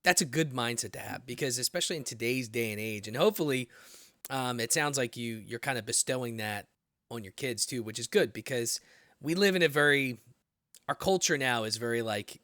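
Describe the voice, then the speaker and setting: A man in his late thirties with a US Northeastern accent, hosting a podcast, blunt and animated, swearing freely as he tells stories. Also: medium pitch, a little fast, no room sound, studio quality. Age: 30s. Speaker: podcast host. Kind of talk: casual interview talk.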